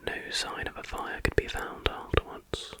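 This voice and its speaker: A man speaks entirely in a breathy whisper, with no voiced tone at all. He narrates in an ASMR style, reading stories aloud like an online host. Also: normal speed. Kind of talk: whispered ASMR storytelling, reading with casual asides.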